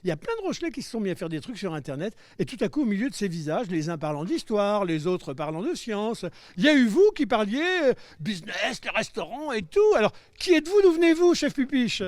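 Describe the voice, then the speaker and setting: Playful high-voiced male interviewer. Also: big pitch swings, brisk, hardly any pauses, darkish tone, no room sound. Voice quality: playful, teasing. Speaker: host. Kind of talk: live street interview. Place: outdoors by the seafront.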